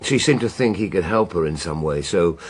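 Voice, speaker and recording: A man's voice: deep voice, expressive, normal speed, slightly rough. An actor playing a flustered, absent-minded male lawyer in a radio drama, rambling in hesitant stops and starts. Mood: hesitant, obliging. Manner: hesitant, bumbling, stop-start.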